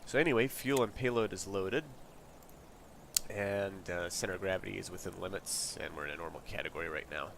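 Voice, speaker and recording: A man's voice: sits low, fairly quick, creaky. A congested man casually talking through a flight sim checklist as a streamer, rambling and reading each item aloud. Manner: relaxed, rambling, reads checklist items aloud. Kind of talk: casual walkthrough narration. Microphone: desk mic. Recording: noisy home mic recording.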